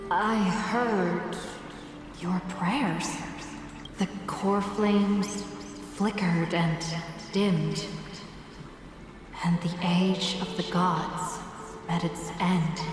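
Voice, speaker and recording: Casting: solemn godlike woman, slow and measured, vast reverberant hall, ceremonial game speech. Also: on the low side, fairly even intonation. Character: solemn awakened female deliverer, speaking as a benevolent god. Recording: very echoey hall reverb, music behind.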